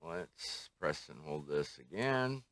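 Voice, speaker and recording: An older man with a very low, bright-edged voice talks through a casual hands-on review. He is slow and musing, often thinking aloud to himself. The sound is dry and close, without room echo. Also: big pitch swings. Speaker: radio hobbyist reviewer.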